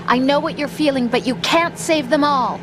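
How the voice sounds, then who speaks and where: A woman voicing a high, gentle pleading partner. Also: moderate pace, background noise, close to an everyday voice. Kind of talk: video game cutscene voice acting.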